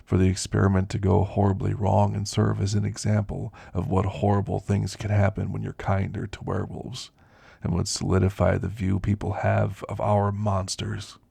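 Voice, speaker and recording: A man playing an elderly, ailing werewolf in an ASMR roleplay, gentle and reflective, reminiscing in a soft, deliberate, gravelly voice. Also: fairly low pitch, monotone, no echo, exaggerated voice. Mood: grave, thoughtful. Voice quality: gravelly, husky.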